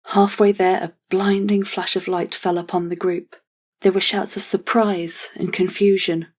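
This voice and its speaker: A woman reading scripted fantasy narration for an audio drama in a measured, solemn way. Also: medium pitch, little pitch movement, slightly rough.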